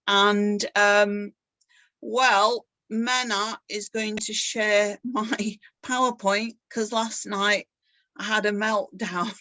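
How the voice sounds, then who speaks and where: A middle-aged woman talks about her own artwork in a loose, chatty way and pokes fun at herself. Her pitch sits in a middle range, and the room around her is dry and close, with no echo. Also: fairly even intonation, very slow, sharp tone. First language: English. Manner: chatty and self-deprecating, laughs often. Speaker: artist giving a talk. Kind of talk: casual presentation.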